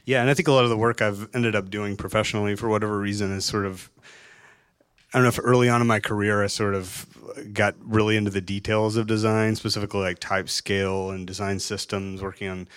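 A man's voice: on the low side, fairly even intonation, quick pace, a bit bright, clean tone. A man, an artist and designer, talking through his work on stage in a loose, rambling way with lots of fillers and restarts. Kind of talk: live on-stage conversation.

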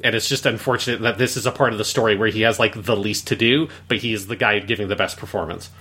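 A man with a slightly low, bright and forward voice hosts a casual review chat. He rambles from thought to thought, peppering his sentences with "like" as he works out his opinion. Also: steady tone, quick pace, husky. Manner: rambling, frequent "like". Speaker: podcast host and reviewer.

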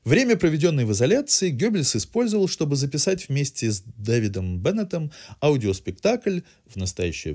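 Male podcast host, mid-pitched voice, highly animated melody, erudite literary wording, semi-scripted review narration.

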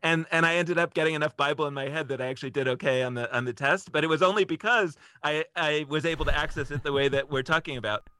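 Bright, higher-pitched man explaining casually. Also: fast, hardly any pauses, smooth, no room sound. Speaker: podcast guest.

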